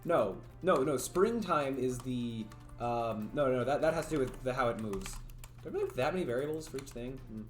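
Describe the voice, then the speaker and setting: Male streamer, casual think-aloud coder, slightly raspy voice, roomy echo. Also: mid-range voice, lively intonation, a little fast. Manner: thinks aloud, rambling, chats with viewers, frequent laughs. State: voice getting raspy from long talking. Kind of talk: casual livestream coding commentary. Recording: roomy echo, faint music.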